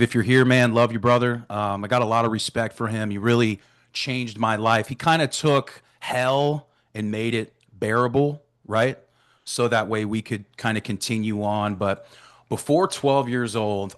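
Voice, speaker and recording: A man's voice: on the low side, a bit bright. Candid thirty-something man from Florida. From Florida, USA. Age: 30s. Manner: open, leans on "right?" and "man". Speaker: guest. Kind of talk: candid personal storytelling. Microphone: remote video call.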